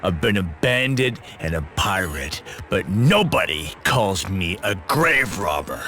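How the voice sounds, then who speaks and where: An actor playing a gruff, proud mercenary in a video game, terse and wry. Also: male voice, low voice, very animated, unhurried, pushed and bright, soft background music, full character voice. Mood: offended. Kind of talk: dubbed video game cutscene acting.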